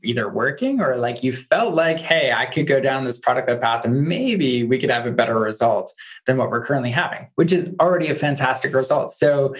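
A man's voice: mid-range voice, animated, brisk. A man hosting a podcast, chatting casually and saying "like" often. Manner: chatty, many "like" fillers. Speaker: podcast host. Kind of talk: casual interview chat.

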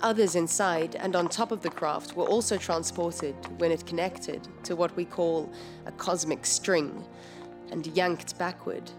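A woman's voice: on the low side, steady tone, measured pace, over music, lightly acted. An actress playing an earnest, precise female scientist in an audio drama, explaining things formally and carefully.

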